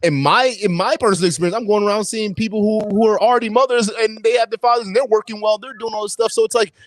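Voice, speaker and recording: A podcast host with a Nigerian accent, talking casually and agreeably, stammering and repeating words. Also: male voice, high-pitched, steady tone, fast talker, no echo.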